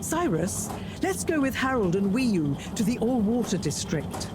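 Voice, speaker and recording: A woman's voice: a little high, very animated, a little slow, noisy background. An actress playing an authoritative female team leader in a video game, clipped and businesslike. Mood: commanding. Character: brisk, commanding female rescue team leader. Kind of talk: scripted video game dialogue.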